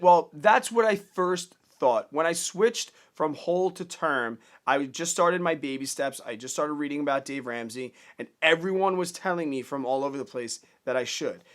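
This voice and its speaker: A man's voice: fairly high pitch, fairly bright tone. A man in his thirties giving casual, earnest personal finance advice straight to the camera, plainspoken and given to repeating words as he restarts. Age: 30s.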